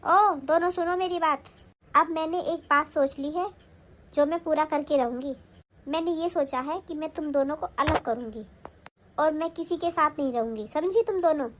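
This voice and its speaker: A woman's voice: high voice, normal speed, slight background noise, natural voice. A woman acting a stern older mother-in-law who scolds and commands in a family skit. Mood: angry.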